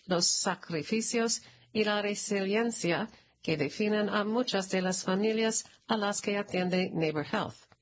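Slow-paced, formal woman narrator. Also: medium pitch. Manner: formal, measured. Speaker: narrator reading a press release. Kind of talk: scripted read-aloud news announcement.

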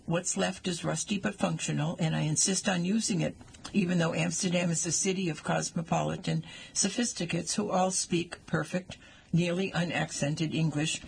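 Older man, 60s, essay reader, wry delivery, gravelly edge, faint background noise. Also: on the high side, poor audio. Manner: wry, dry humor. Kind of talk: reading an essay aloud.

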